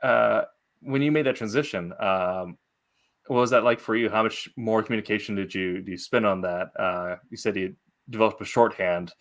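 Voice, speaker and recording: A man hosting a casual interview, working his way haltingly through long, roundabout questions and comments. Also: fairly low pitch. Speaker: interview host.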